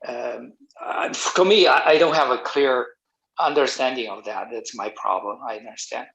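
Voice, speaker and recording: A man's voice: medium pitch, normal speed, creaky, fairly bright tone, low-quality recording. Hesitant man with a Chinese accent. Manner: hesitant, rephrases his question repeatedly. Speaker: panelist asking questions. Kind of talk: casual questioning on a video call.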